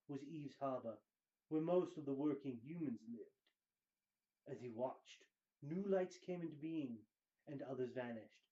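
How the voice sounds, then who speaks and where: Guy doing a slow over-the-top dramatic reading, kinda mellow tone, slightly muffled lo-fi sound. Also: fairly high pitch. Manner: hammy, grandiose, drawn-out delivery with dramatic pauses. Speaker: show host giving dramatic readings.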